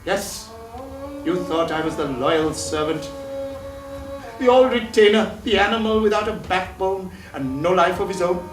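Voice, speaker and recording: A man's voice: sits high, unhurried. An actor playing an old, devout male family servant in a stage play, speaking with formal, solemn address. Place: theatre stage. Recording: echoey stage from far off, music.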